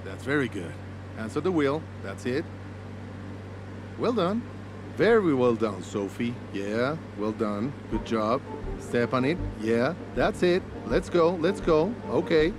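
An actor playing an excitable driving instructor who coaxes and then yells at his student, with music underneath. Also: mid-range voice, slow pace, noisy background. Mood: coaxing. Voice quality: shouting, exasperated. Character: excitable male driving instructor, quick-tempered.

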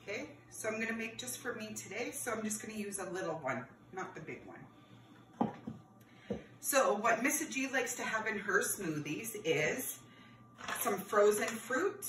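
A woman's voice: medium pitch, animated, measured pace. A woman in her thirties hosting a cooking class for children in a home kitchen. She explains each step as she works and calls her viewers friends. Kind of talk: cooking demonstration for kids. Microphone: camera across the counter, no visible mic. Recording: roomy, distant camera audio with background noise.

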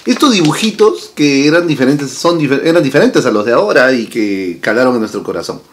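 Guy in his 40s vlogging at his desk, chatty, keeps tossing in little questions, lively voice. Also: mid-range voice, brisk. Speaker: channel host. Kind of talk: casual nostalgic vlog. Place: indoor room with toy-filled shelves. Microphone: fixed camera at desk distance.

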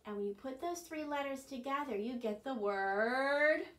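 A woman in her sixties presenting a children's storytime, expressive and often prompting the children with questions. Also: sits high, normal speed, slight background noise. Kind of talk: children's storytime, singsong chanting and read-aloud. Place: small furnished living room, indoors. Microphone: camera a few metres away. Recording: roomy, distant camera microphone.

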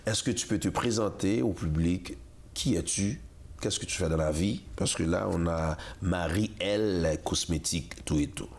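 A middle-aged man hosts a television interview from a studio, speaking through a lapel mic. He teases his guest playfully and laughs a lot. His voice sits a little low. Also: a little slow, a little mellow. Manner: playful, teasing, laughing often. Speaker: talk show host.

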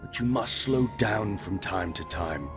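A man playing Death, a calm, wise reaper who speaks in a measured, formal and reassuring way in a fantasy audio drama. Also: lowish voice, faint music behind.

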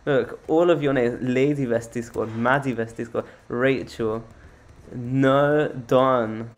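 A man's voice: medium pitch, fairly even intonation, slow. A man co-hosting a casual game tour, talking in a teasing, playfully boastful way. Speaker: co-host of a Roblox build tour.

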